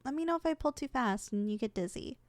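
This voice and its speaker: Casting: female actor for sweet, flustered succubus student, high lively voice, ASMR roleplay. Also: quick pace, no echo. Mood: gentle, coaxing. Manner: expressive, flustered, coaxing. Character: eager young succubus trainee, sweet and easily flustered.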